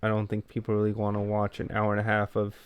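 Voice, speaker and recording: Low key guy on a podcast, pretty monotone, dry close sound, casual chat. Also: fast, smooth, no echo. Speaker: podcast co-host who makes gaming videos.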